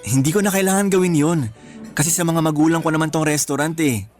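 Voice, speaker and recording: An actor playing a gentle, sincere young man in love in a Filipino radio romance, earnest and lightly teasing. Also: on the high side, steady tone, fast, lightly acted. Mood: affectionate. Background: music.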